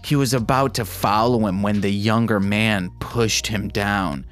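A man's voice: on the low side, steady tone, a little slow, soft background music. A man hosting a podcast, reading fan fiction aloud in an irreverent way and breaking off into casual, joking asides to listeners. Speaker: podcast host.

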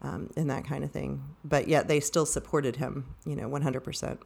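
A woman's voice: very deep voice, fairly even intonation, moderate pace, gravelly, darkish tone, husky. A woman co-hosting a book discussion, thinking aloud in a casual, reflective way.

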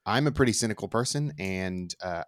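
A man chatting casually as a podcast guest, hesitant and halting, with some swearing. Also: fairly low pitch, expressive, no room sound, studio quality. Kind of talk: casual chat.

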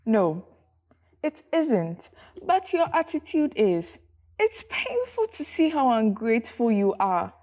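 A woman's voice: on the high side, darkish tone. A woman with a Ghanaian accent playing a hurt, reproachful single mother in an audio drama, speaking dramatically and emphatically. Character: hurt, scolding single mother of a teenage son.

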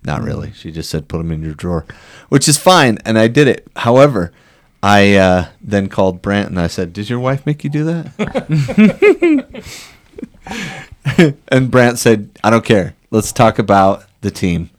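Deep-voiced male co-host, laid-back banter, quick with a joke. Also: big pitch swings. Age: adult, married. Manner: joking, tells stories with quoted voices. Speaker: podcast co-host. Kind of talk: casual chat.

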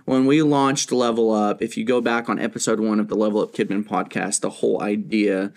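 A man's voice: on the low side, steady tone, brisk, a little mellow. Chatty self-deprecating male podcast host. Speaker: podcast host, children's ministry leader. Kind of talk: casual chat.

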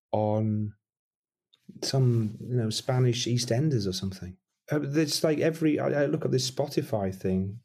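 Man, middle-aged, husky, rambling casual chat, self-deprecating. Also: lowish voice, a little mellow. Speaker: interviewed musician.

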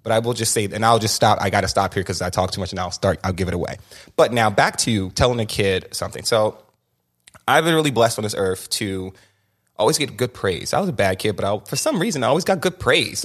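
A man's voice: mid-range voice, lively intonation, fast talker, a bit bright. A young man hosting a podcast, telling his story in a candid, emphatic way and often restarting and repeating words. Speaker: podcast host.

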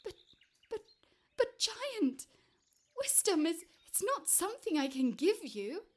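A timid, crafty little farmer man from a storybook, as a woman narrator puts him on. The voice is high and trembly. He stammers and pleads politely as he speaks. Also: big pitch swings, unhurried. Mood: frightened.

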